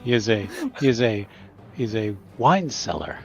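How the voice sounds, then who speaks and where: A man playing a humble, smooth-talking traveling servant in a tabletop game, fawning and grateful, repeating his polite words. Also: on the low side, very animated, moderate pace, highs cut off, soft background music. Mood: smooth, ingratiating.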